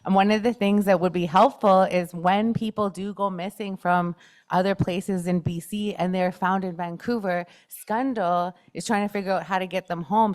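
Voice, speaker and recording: Earnest woman with a lowish voice. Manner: earnest, with some filler pauses. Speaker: panel speaker introducing others. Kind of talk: community dialogue session.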